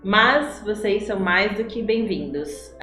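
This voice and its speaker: A woman's voice: mid-range voice, lively intonation, unhurried, sharp tone. A woman from Brazil with a Brazilian Portuguese accent, co-hosting an English-teaching livestream and explaining points in an upbeat way. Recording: roomy echo with faint music.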